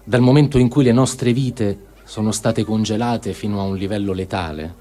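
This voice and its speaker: A man giving a somber, deliberate dramatic reading of diary texts for a radio program. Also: fairly low pitch, warm tone. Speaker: reader.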